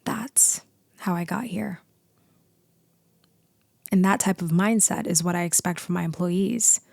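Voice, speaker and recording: Low, creaky, commanding lady boss played by a woman. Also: no echo. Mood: proud, reflective. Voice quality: deep, slightly creaky, hushed in places. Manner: slow, deliberate, commanding, with weighty pauses. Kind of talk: ASMR roleplay acting.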